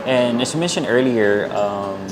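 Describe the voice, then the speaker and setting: A man's voice: medium pitch, animated, normal speed, a crowd in the background, rough recording. A man interviewing a guest, asking questions and giving short agreeing replies with frequent laughs. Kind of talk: casual interview.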